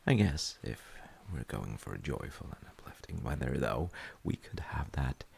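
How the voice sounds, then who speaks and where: A man playing a gentle, thoughtful dad in an intimate audio roleplay, speaking hesitantly and softly with a gravelly, husky voice. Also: a bass voice, animated, unhurried, exaggerated voice. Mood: musing, gentle. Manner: hesitant, musing, soft-spoken. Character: gentle, thoughtful dad comforting his child. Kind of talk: intimate audio roleplay, slow bedtime chat.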